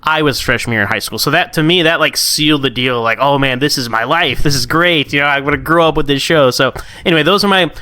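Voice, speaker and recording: A man's voice: fairly high pitch, fast, few pauses, bright, forceful tone, clean tone. A man in his early thirties chatting casually and enthusiastically as a podcast guest. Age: early 30s. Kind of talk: casual fan chat.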